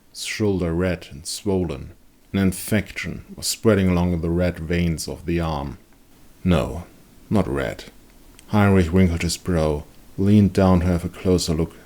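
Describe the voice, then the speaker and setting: A man narrating a dark fantasy story as a dramatic audiobook reading. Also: low voice.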